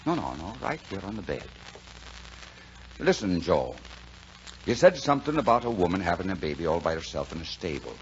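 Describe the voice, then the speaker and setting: A man's voice: sits low, very animated, brisk, long pauses, slight background noise. An actor playing a plain-spoken, reassuring American man telling a Christmas story in 1940s radio drama style. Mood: gentle, reverent. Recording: dull 1940s radio broadcast, music behind.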